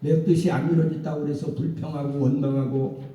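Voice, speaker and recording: Male pastor, emphatic sermon, very dark mellow tone, echoey church heard from afar. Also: fairly high pitch, little pitch movement, fairly quick. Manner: emphatic preaching with repeated calls of hallelujah. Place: church sanctuary. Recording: roomy church sound from a distant mic.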